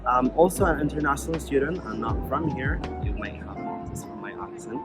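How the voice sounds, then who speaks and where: A young man from Kuwait, a medical student, talks in a relaxed, halting way and fills gaps with ums. His voice sits at an ordinary pitch, and loud music plays underneath him. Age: young adult. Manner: relaxed, hesitant, many fillers. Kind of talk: casual vlog talk.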